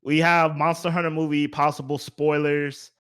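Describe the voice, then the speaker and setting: A man hosts a podcast with a moderately high voice. His delivery is casual and conversational, like chatting with a friend. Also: little pitch movement, moderate pace, fairly bright tone, clean tone. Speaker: podcast host. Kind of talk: casual chat.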